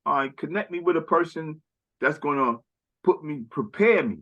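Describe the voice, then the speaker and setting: A man's voice: fairly high pitch, animated, normal speed, bright, forceful tone, highs cut off. A man speaking in a casual conversational chat as a podcast guest, rambling and often tagging "right?" and "you know".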